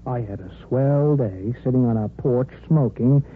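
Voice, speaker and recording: Tinny old-radio actor as wry jealous suitor. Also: male voice, medium pitch, measured pace, lightly acted. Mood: wry, resentful. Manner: dry, sardonic. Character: jealous, wry suitor, a man wounded in love. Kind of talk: 1950s radio soap opera acting. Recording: noisy tinny 1950s radio recording.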